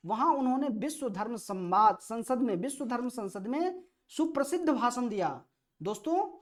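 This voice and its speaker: A woman with an Indian accent teaching an exam-prep lesson, reading out questions and explaining answers with emphatic repetition of key phrases. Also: on the high side. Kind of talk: quiz-style history lesson, questions read out and explained.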